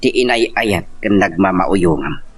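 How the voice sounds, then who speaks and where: A man plays a well-meaning go-between in a radio love drama, speaking in a low, husky voice that swings widely. He questions and exclaims, pressing his advice earnestly. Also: lively intonation, unhurried, full character voice. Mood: urging. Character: earnest male friend, a go-between giving advice and help. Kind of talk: amateur radio-style love drama acting.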